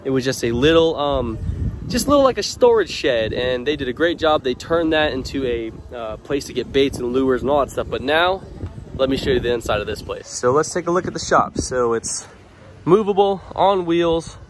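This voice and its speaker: A man's voice: medium pitch, lively intonation, moderate pace, background noise. A young man casually walking viewers through a small shop, talking straight to them in an upbeat way. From Louisiana. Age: young adult. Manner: upbeat, addresses viewers directly. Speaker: vlogger. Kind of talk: casual walkthrough vlog. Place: outdoors by a waterside dock, then inside a small shop. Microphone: handheld camera held close.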